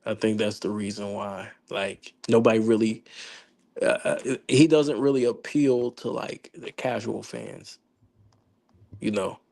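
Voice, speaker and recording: A man hosting a casual sports chat, hoarse and slangy, rambling with lots of restarts. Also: on the low side, steady tone, unhurried, warm tone. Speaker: podcast host.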